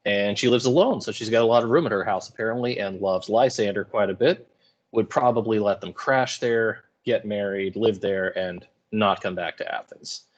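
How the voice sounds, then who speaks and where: A man with a fairly low voice gives informal lecture commentary. He explains like an English teacher, putting things into colloquial everyday words. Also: moderate pace.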